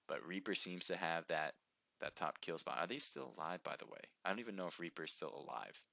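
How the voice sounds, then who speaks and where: A man giving chatty play-by-play commentary on a live mobile game match, his voice slightly hoarse from a sore throat. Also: sits low, pushed and bright, no echo, clean studio sound. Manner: chatty, upbeat, reads viewer names aloud.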